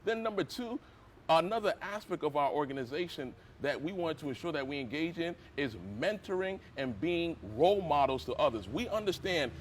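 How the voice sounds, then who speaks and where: A man's voice: a little high, animated, a little fast, slight background noise. Ghanaian man with an American accent, preacherly. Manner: oratorical, alliterative and numbered points. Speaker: interview guest, lawyer and missionary. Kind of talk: sermon-like interview answers.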